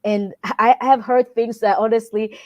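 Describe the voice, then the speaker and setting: A woman's voice: fairly high pitch, steady tone, normal speed, a little mellow, highs cut off. A woman from Congo, a workplace wellbeing consultant, answering interview questions in an earnest, reflective way, often restarting her phrases.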